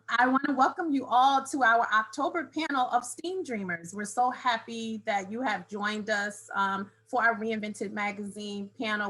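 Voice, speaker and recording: Bright-voiced woman moderating an online panel. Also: fairly high pitch, low-quality recording. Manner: friendly, hesitant with frequent ums. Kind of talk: welcoming a live online panel.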